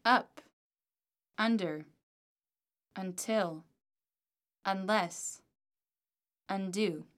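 A woman with a mid-range voice reads answers and repeats words in an English course. She speaks slowly and clearly, at a steady pace suited to learners. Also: medium pitch. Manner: slow, carefully articulated model speech. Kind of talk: scripted model dialogues and drills.

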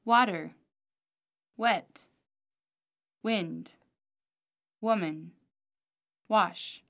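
A woman's voice: mid-range voice, drawn-out words, gravelly, a bit bright. A woman reading model dialogues and repeated words for a language course, articulating each one carefully. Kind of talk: scripted model dialogues and drills.

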